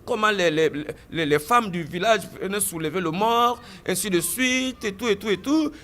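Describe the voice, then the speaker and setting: A man giving a testimony in an interview, telling his story with animated delivery, stammered restarts and repeated phrases. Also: sits high, moderate pace. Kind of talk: recounting a personal story in an interview.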